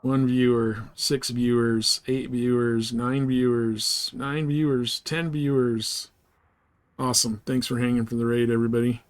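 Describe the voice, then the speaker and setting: A man's voice: mid-range voice, level tone, a little slow, clean tone, no echo. A man chatting casually and informally as he hosts a live game stream, rambling in slangy language.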